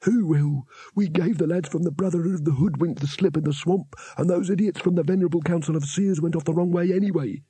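A man's voice: on the high side, fast talker, hardly any pauses, mellow, breathy edge, no room sound, voice pushed up. A man voicing a scornful male wizard in a fantasy audiobook. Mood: scoffing.

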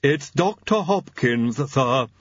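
A man voicing a gruff, blunt, loyal servant from a far country who grumbles in a growl, in an audiobook. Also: medium pitch, expressive, slow pace, lo-fi sound, voice pushed up. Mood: dutiful.

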